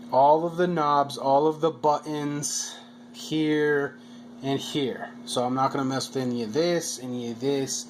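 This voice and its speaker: A man with an average-pitched voice talks through a hobby project like a home vlogger. His delivery is casual and halting, with words repeated as he feels his way along. Noticeable background noise runs behind him. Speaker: hobbyist video maker. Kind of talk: casual narrated project vlog.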